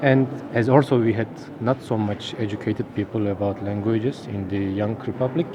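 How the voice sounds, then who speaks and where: A man in his thirties from Turkey, speaking English with a Turkish accent as an interview guest, halting and searching for words. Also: on the low side, steady tone, noisy background. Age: 30s. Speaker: linguist guest. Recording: outdoor crowd chatter behind.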